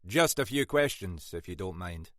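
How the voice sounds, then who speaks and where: A man voicing a calm, dry Scottish male detective inspector with a measured, wry manner. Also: low voice, dry recording.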